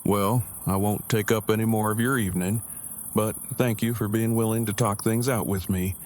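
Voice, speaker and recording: A man's voice: lowish voice, fairly even intonation, normal speed, a little mellow, a little hiss or hum, lightly acted. A man playing an awkward, earnest survivor in an audio drama, speaking in stiffly formal, long-winded, precise phrases. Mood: relieved, formal. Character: awkward, verbose, earnest male survivor and loyal friend. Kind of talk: fan audio drama acting.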